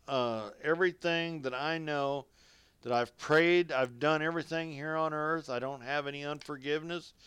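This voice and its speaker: A man's voice: a little high, a bit bright, clean tone, no echo. A man preaching a radio sermon, reading scripture and exhorting listeners directly in folksy, colloquial phrasing.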